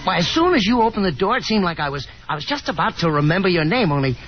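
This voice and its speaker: A man's voice: sits high, big pitch swings, fast talker, soft background music. An actor playing a friendly, confident young man with a boyish, folksy manner in 1950s radio drama. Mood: surprised, recalling. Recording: muffled 1950s radio broadcast, hissy noise.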